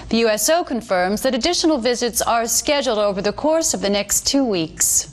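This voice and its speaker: An actress plays a female American news anchor from a video game. Her voice sits fairly high, and she delivers scripted bulletins with measured, formal authority. She comes across as an earnest, polished mouthpiece for the government. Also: expressive, close to an everyday voice. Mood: earnest, patriotic. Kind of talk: scripted news broadcast narration.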